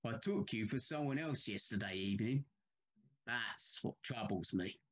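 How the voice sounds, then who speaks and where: A man playing a troubled railway signalman in an audio drama, haunted and deferential, speaking haltingly. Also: medium pitch, expressive, close to an everyday voice. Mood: grave, uneasy. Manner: slow, halting, deferential, uneasy.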